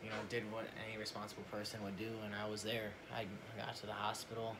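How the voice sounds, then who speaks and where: A man's voice: fairly low pitch, monotone, fast talker. A young man casually telling a personal story to camera in a conversational way, often repeating his words as he goes. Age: young adult. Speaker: family vlogger. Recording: noisy home recording.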